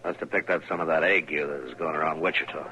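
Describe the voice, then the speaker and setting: A gruff American frontier marshal who sounds ill and worn out. His low voice is terse and grumpy. It is old radio drama with clear background noise. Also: steady tone, fast, sharp tone. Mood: ailing, irritable. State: sick and worn out. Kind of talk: 1950s radio western acting. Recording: noisy vintage radio recording.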